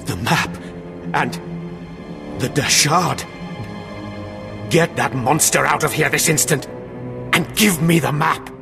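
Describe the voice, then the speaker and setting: Gravelly, sneering Sith overseer. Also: male voice, fairly high pitch, slow pace, pauses often, music in the background. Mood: agitated. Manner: sneering, commanding. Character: stern, contemptuous male Sith overseer, stubborn and bitter. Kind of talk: video game voice acting.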